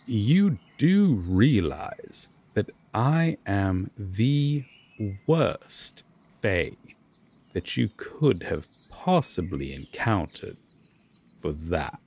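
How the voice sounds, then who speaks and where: A man playing a brooding, courteous Fae lord in a roleplay audio drama, guarded but reluctantly kind, speaking formally with dramatic pauses. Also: lowish voice, sing-song, darkish tone, breathy edge, heavily acted. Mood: grave, guarded.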